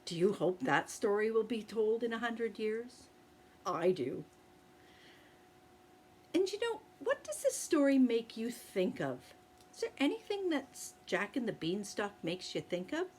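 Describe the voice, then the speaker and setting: Slow, gentle children's storyteller woman. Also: a little high, sing-song. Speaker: early-years storyteller.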